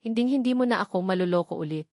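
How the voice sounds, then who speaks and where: A woman's voice: mid-range voice, lively intonation, quick pace, a little mellow, no room sound, clean studio sound, natural voice. A woman voicing a wealthy young man in a Tagalog romance story, cold, scornful and bitterly accusing, with an authoritative manner.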